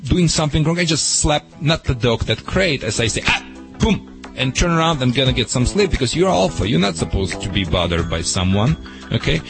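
A man's voice: medium pitch, sing-song, a little fast, creaky, over music. A man giving dog training advice to a radio caller, in broken, emphatic English.

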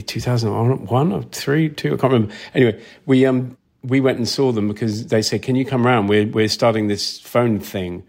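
A man's voice: lowish voice, a little mellow. A man talking casually as an interview guest, rambling through stories and acting out reactions. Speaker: interview guest, sound designer. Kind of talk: casual anecdotal interview talk.

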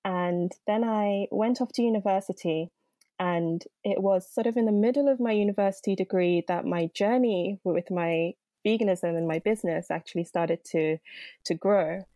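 A woman's voice: medium pitch, moderate pace, dark tone, no room sound, studio quality. A young woman from Nigeria, a podcast guest, telling her story in a chatty way with many fillers. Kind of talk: interview conversation.

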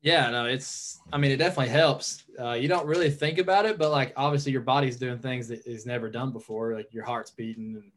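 A man's voice: mid-range voice, fairly quick, hardly any pauses, fairly bright tone. A young man with a Southern US accent, chatting easily as a guest on a podcast. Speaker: podcast guest, pro pitcher. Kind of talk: casual podcast chat.

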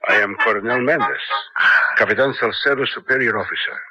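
An actor playing a falsely reassuring, menacing secret police colonel in a 1970s radio drama. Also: male voice, mid-range voice, a little fast, over music, close to an everyday voice. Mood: formal, reassuring. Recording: muffled vintage radio broadcast.

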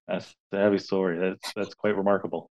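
A man's voice: low-pitched, a little mellow. A man co-hosting a music interview, reflective and a little hesitant. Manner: reflective, hesitant fillers, laughs. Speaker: podcast co-host. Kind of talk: casual interview chat.

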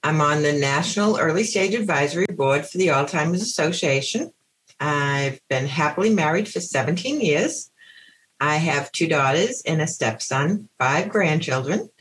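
A woman's voice: sits low, lively intonation, normal speed, fairly bright tone, rough recording. A middle-aged woman, speaking candidly and plainly as an interview guest. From United States. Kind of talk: personal account in an interview.

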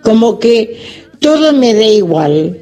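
A woman in her late eighties, giving a slow, wry personal testimony about her days at home. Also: fairly high pitch, sing-song, mellow, faint music behind. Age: 80s. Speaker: older caller.

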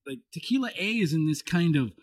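Lively, animated male podcast host. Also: a little high, a little fast, dry recording. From Los Angeles. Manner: animated, joking, many restarts. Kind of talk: casual chat.

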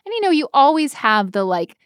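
Higher-pitched woman chatting casually. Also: a little fast, no room sound, clean studio sound. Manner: animated, irreverent, frequent "like" filler. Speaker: podcast co-host. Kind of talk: casual chat, storytelling.

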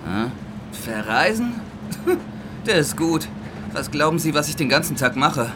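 A man's voice: fairly high pitch, very animated, measured pace, music in the background. A man playing a bored, lazy, grumbling train conductor in a children's audio play, sceptical and colloquial. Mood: dismissive. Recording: loud train ambience noise behind.

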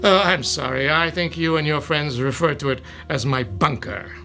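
An actor playing a smug mad villain in a horror radio drama, gloating with genteel, mock-polite manners. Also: male voice, a little high, moderate pace, gravelly, pushed and bright, faint music behind.

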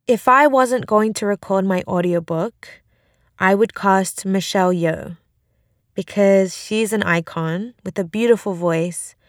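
A woman's voice: mid-range voice, no room sound, clean studio sound. A woman who is an author, speaking reflectively about her writing and reading her memoir.

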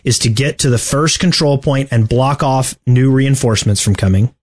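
Man talking game tactics, halting and deliberate. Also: mid-range voice, darkish tone. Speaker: podcast host. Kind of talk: casual video game strategy discussion.

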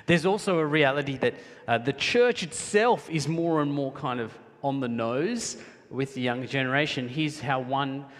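A man from Sri Lanka preaching a sermon as a pastor, in an emphatic but conversational way, slowing down for weight. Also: medium pitch. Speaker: pastor preaching to his congregation. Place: large church hall, speaking to a congregation. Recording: echoey hall with long reverb.

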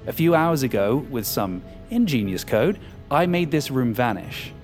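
A man's voice: mid-range voice, sing-song, normal speed, a little mellow, close to an everyday voice. A male actor performs an arrogant male hacker who craves power. He talks with smug, sardonic confidence and open cynicism, as in a fiction podcast. Music plays under his voice. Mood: confident, explaining. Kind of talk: audio fiction acting.

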